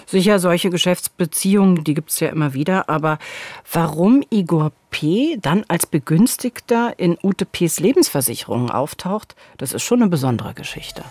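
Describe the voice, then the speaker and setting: A woman's voice: on the low side, animated, moderate pace, darkish tone, dry recording. A woman in her forties co-presenting a true-crime case in conversational, scripted narration on a studio microphone. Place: indoor radio studio. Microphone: studio boom microphone.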